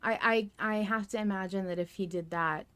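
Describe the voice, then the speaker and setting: A woman's voice: medium pitch, a little fast, a bit bright, clean tone. A woman hosting a podcast in casual chat, swearing freely. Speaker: podcast host.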